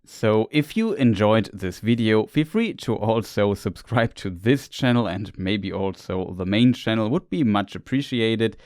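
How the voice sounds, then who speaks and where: A man's voice: on the low side, lively intonation, measured pace, few pauses, a little mellow, no room sound, studio quality. Man explaining fantasy lore. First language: German. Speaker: lore video creator. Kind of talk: scripted lore narration.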